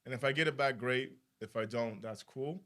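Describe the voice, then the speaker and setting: A man speaking thoughtfully and in measured terms as a panelist in a live discussion. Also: medium pitch, a bit bright.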